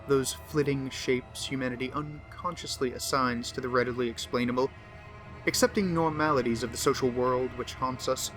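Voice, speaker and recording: A man voicing a pompous, unhinged occult diarist who scorns humanity, in theatrical, deliberate horror narration that lingers on words. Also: mid-range voice, steady tone, a bit bright, soft background music, close to an everyday voice, low-quality recording. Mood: scornful, grandiose. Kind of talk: dramatic horror story narration.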